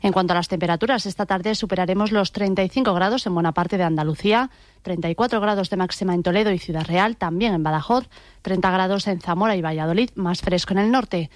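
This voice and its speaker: A woman's voice: fairly low pitch, fast talker, no echo. A woman reading a scripted radio forecast as weather reporter.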